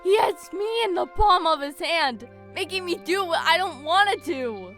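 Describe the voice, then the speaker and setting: Frightened young woman, anguished, halting, radio drama, music underneath. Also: very high voice, animated, a bit bright. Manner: anguished, stammering.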